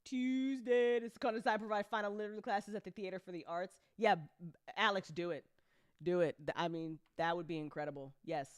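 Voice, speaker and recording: A woman hosting a casual livestream chat as an audio drama creator, animated and joking, with informal, sweary talk full of y'all. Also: mid-range voice, brisk, smooth, no room sound, clean studio sound. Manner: informal speech with frequent y'all. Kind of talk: casual livestream chat answering audience questions.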